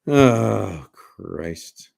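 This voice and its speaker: A man with a raspy smoker's voice hosting a casual livestream, joking with viewers and rambling through restarts with bursts of laughter. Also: lowish voice, animated, extremely slow, a little mellow. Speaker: livestream host.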